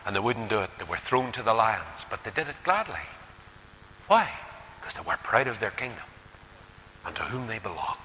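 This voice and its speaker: A man with a Northern Irish accent preaching a sermon, putting rhetorical questions and moving between emphatic rises and quieter asides. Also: medium pitch, a little hiss or hum. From Northern Ireland. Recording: echoey hall with long reverb.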